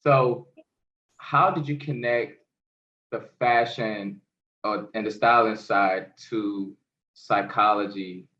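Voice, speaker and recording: A man's voice: on the low side, steady tone, takes it slowly, pushed and bright. A man hosting an interview, upbeat and casual, asking follow-up questions with easy fillers. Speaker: interview host. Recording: echoey, dull-sounding room.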